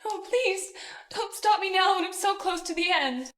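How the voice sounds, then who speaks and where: A woman playing a high-voiced ambitious heiress. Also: normal speed, a bit bright. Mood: pleading. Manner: defiant, then pleading and begging. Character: ambitious young heiress daughter in a family firm. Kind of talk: melodrama acting.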